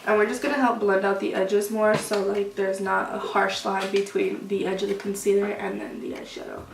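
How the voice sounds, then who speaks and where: A woman's voice: mid-range voice, level tone, normal speed, vocal fry, distant mic. A young woman with a cold and a sniffly, stuffed-up sound talks through a makeup tutorial in a loose, chatty way full of fillers. Her voice comes from some way off the mic in a roomy space. A faint hiss sits behind it. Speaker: beauty vlogger.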